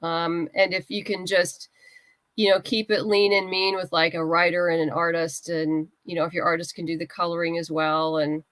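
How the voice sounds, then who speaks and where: A woman's voice: lowish voice, level tone, moderate pace, fairly bright tone, dry recording, low-quality recording. A woman chatting casually as an interview guest, talking in an enthusiastic, chatty way. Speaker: guest, writer and comics creator.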